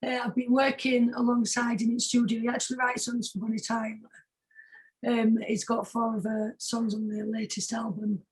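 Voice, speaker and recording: Husky British woman, higher-pitched voice. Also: little pitch movement, normal speed, a little mellow, poor audio. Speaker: singer-songwriter guest. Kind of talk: casual interview chat.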